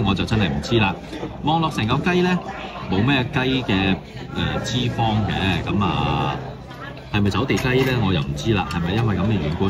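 A man with a fairly low voice talks through a meal in a casual review voice-over. His pitch rises and falls a lot as he muses out loud, questioning and mildly critical of what he eats. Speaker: food reviewer.

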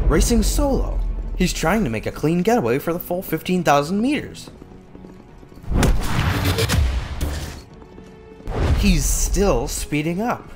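Guy acting as a formal but excitable race commentator, big swings in pitch, music underneath. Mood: excited, urgent. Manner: formal explaining that bursts into breathless excitement. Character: excitable male horse race announcer, brisk and official. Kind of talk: dramatic race commentary, manga fandub.

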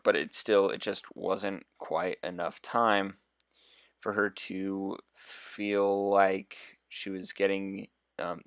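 A young man talks through his gameplay in a deep, quiet voice that sits a little low. He rambles in a relaxed way, thinking aloud and padding with fillers as he goes. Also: vocal fry, dry recording, studio quality. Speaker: gameplay video host. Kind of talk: casual gameplay commentary.

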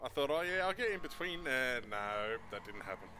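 A man's voice: mid-range voice, big pitch swings, fairly quick. A man in casual roleplay chat while playing an online police game. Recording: noisy, with vehicle sound behind. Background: game vehicle and ambient noise.

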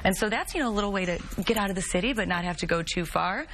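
A woman in her thirties from the Chicago area, a guest on a TV talk show, telling stories in a chatty, animated way on a studio set. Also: mid-range voice, racing, a little hiss or hum. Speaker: guest, travel and music blogger. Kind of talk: casual talk-show chat.

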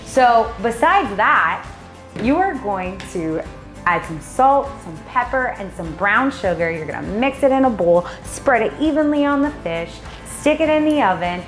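A woman's voice: on the high side, sing-song, a little slow, reverberant, soft background music. A woman in her thirties co-hosting a vlog, cheerfully walking through a recipe step by step with small jokes, in a home kitchen. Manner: upbeat, jokey, step-by-step instructions. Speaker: vlog co-host. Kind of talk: casual cooking demo and chat.

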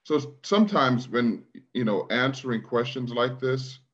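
A middle-aged man from Guyana, raised in Brooklyn, speaking as an interview guest over a video call, thoughtful and measured with restarts. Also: medium pitch, a bit bright, muffled recording. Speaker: guest, leadership trainer. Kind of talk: conversational interview.

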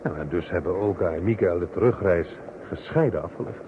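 An actor plays a wry, composed suspect in a vintage radio drama. His low voice is understated and slightly hesitant. The recording is thin, with faint noise. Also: a little fast, phone-quality sound, natural voice. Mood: matter-of-fact. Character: wry, composed male murder suspect and amateur helper. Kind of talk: old Dutch radio drama acting.

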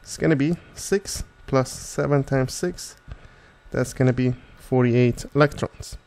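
A man with a soft, mellow voice at a middle pitch narrates a tutorial. He explains methodically, one step after another, in the manner of a chemistry tutor. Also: measured pace.

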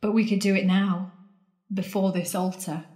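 A woman's voice: medium pitch, level tone, normal speed, dark tone, close to an everyday voice. An actress playing a defiant, worldly woman in an audio drama, intense and sardonic as she challenges her lost love. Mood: sardonic, teasing. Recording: echoey, roomy sound.

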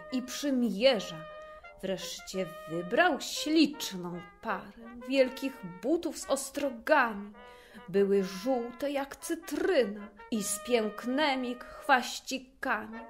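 A woman narrating a fairy tale in verse, with an expressive, measured storytelling delivery. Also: fairly high pitch.

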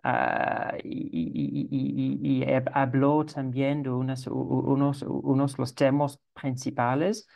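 A man moderating an online consultation session over a video call, speaking haltingly with frequent restarts. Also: medium pitch, flat delivery, creaky, a little mellow.

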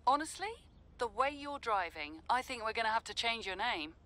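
A middle-aged mum in a video game, with a bright, forward voice. She gushes affectionately, teasing, her pitch moving in lively swings. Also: normal speed. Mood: proud, teasing. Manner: gushing, affectionate. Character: proud, doting journalist mother, playfully teasing. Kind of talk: video game phone-call acting.

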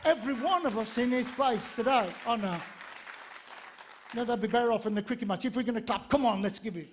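Geordie-area north-east english guy preaching, high voice, tells funny stories, repeats stuff for emphasis. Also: brisk, a crowd in the background, background noise. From Gateshead, north-east England. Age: 50s. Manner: animated, conversational storytelling with repetition for emphasis. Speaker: pastor. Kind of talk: sermon with humorous anecdotes. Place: church stage, indoors. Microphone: handheld microphone.

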